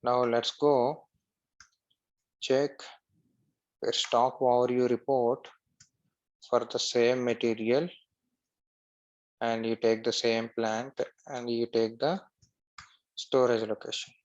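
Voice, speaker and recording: Male instructor, unhurried delivery, step-by-step screen-share tutorial, mid-pitched voice, dull lo-fi recording. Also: level tone, a little mellow. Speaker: software instructor.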